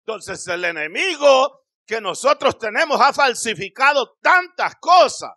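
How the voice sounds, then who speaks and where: A middle-aged man preaching a sermon in a small church hall, speaking into a handheld microphone with emphatic, drawn-out phrases that rise to shouts. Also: extremely high pitch, big pitch swings. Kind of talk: Spanish-language Pentecostal sermon.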